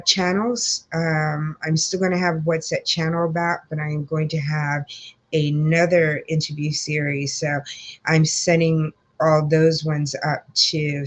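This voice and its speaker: A woman hosting a casual live chat, congested, rambling with many fillers as she greets viewers. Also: low-pitched. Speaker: livestream host.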